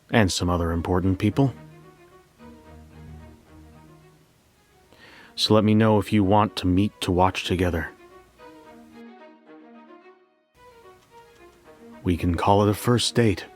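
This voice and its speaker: An actor playing a lovelorn, wounded man who confesses his loss in a dramatic first-person audio story monologue. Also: deep voice. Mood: secretive.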